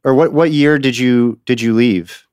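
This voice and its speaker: A man hosting a podcast, chatting casually and interviewing guests in a relaxed conversational way. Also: medium pitch, clean tone, no room sound, clean studio sound. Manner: quick, conversational, thanks guests. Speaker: podcast host.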